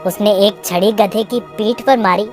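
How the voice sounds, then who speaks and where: A woman's voice: mid-range voice, moderate pace. Woman narrating children's story over music.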